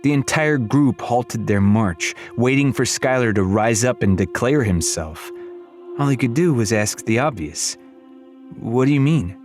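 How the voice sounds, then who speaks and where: A man's voice: medium pitch, animated, a little slow, soft background music. A man reading scripted fantasy fiction as an audiobook narrator.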